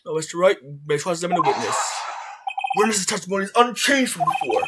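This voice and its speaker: A woman's voice: on the low side, animated, fast talker, pitched below the performer's own voice, lightly acted. A woman voicing a haughty, contemptuous older male prosecutor, cold and imperious, in a courtroom game fan dub. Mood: dismissive. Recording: music under the voice.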